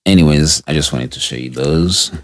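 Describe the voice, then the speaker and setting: A man with a deep voice giving a casual, unscripted product review, talking through the gear as he tests it and restarting sentences as he goes. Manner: unscripted, halting, restarts mid-sentence while testing gear.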